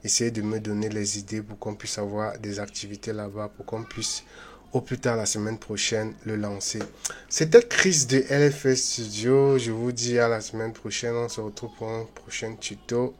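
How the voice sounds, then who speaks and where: A man hosting a software tutorial, talking loosely and chattily with many fillers and restarts, and speaking straight to his viewers in a friendly way. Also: on the low side, steady tone, moderate pace, a little hiss or hum. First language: not English. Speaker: YouTube tutorial host. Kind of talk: unscripted screen-recorded software tutorial.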